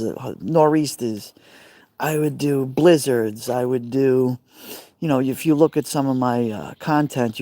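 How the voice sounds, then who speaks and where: Brooklyn man, middle-aged, mellow timbre, chatty live streamer by an outdoor fire pit. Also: mid-range voice, dry recording. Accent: Brooklyn New York. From Brooklyn, New York. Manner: chatty, rambling, answers viewer comments by name. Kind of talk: casual live stream chat with viewers.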